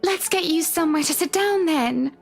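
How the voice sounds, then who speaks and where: An actress playing a kindly, genteel married lady in a horror game, gentle and full of concern. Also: very high voice, moderate pace, vocal fry, faint music behind. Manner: gentle, solicitous.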